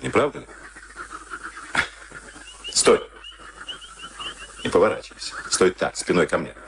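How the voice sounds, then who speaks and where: An actor in a Soviet radio play, playing a sadistic, smug SS camp officer with a taunting, mock-polite manner. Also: male voice, fairly low pitch. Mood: menacing, mocking. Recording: noisy archival radio drama recording.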